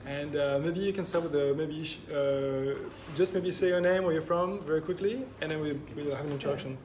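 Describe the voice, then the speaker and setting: A man's voice: on the high side, brisk. A man hosting a panel talk at a maker space event, speaking casually with many fillers. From France and Japan. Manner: hesitant, many fillers, friendly. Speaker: event host. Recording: noisy room ambience.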